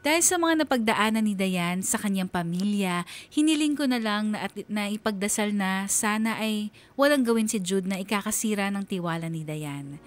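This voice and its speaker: A woman's voice: mid-range voice, lively intonation, normal speed, natural voice. A woman playing an earnest young woman who narrates her own story in a scripted radio drama. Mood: reflective, troubled. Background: faint music.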